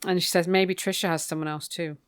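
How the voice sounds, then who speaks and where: A woman's voice: on the low side, fairly even intonation, quick pace, clean tone. A British woman co-hosting a podcast, casually recapping a book in an informal, gossipy, wry way. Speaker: podcast co-host.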